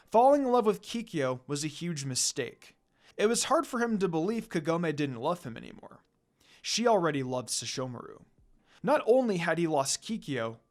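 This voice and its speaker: A man narrates fan fiction from a script. His voice sits on the higher side and moves with very lively intonation as he tells the story.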